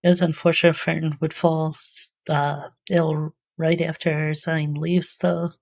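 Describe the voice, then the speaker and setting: A woman's voice: deep voice, level tone, unhurried, vocal fry, darkish tone. A woman giving casual, off-the-cuff reaction commentary, thinking aloud in a musing, tentative way. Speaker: reaction video host.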